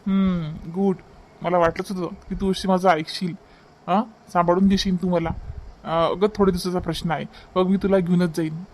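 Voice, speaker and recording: A man's voice: high voice, flat delivery, normal speed, poor audio. A man voicing a breezy young married son in a cartoon family comedy, insistent and reassuring, with a Vidarbha Marathi accent.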